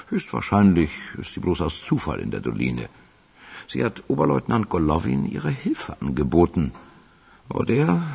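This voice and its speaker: A man's voice: sits low, vocal fry, a little mellow, slightly rough, heavily acted. An actor playing a deferential official reporting formally and methodically to his boss in a German radio crime drama, in a reverberant hall.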